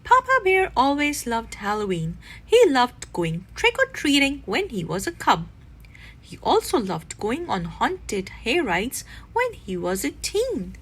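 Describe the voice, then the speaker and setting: Female children's read-aloud narrator: very animated, fairly high voice with a husky edge. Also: a little slow. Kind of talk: expressive scripted storybook narration.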